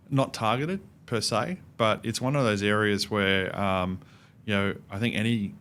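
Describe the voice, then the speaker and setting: A middle-aged Australian man giving measured, explanatory answers as an expert guest in a conversational interview. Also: lowish voice, a little hiss or hum. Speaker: podcast guest, cybersecurity expert.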